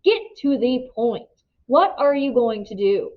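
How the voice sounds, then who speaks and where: A woman's voice: on the high side, lively intonation, a little slow, muffled recording. A woman reading a storybook plays a plain-spoken country father, blunt, outraged and desperate. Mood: grim demand.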